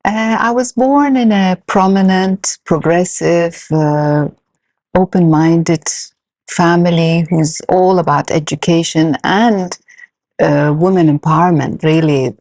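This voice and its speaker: A middle-aged woman from Iraq whose native language is Arabic, telling her own story as an interview guest in a halting, measured way. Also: on the low side, a little mellow, no echo. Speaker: podcast guest, senior lecturer.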